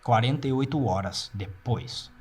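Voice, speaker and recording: Male narrator, Brazilian accent, mid-pitched, measured and formal, for clinical horror-fiction files. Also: slightly rough. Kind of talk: scripted narration of clinical report.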